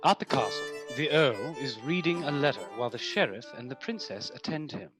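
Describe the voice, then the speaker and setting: A man's voice: medium pitch, expressive, fairly quick, lightly acted. A man narrating a radio play as a wry storyteller, in scripted style. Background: faint music.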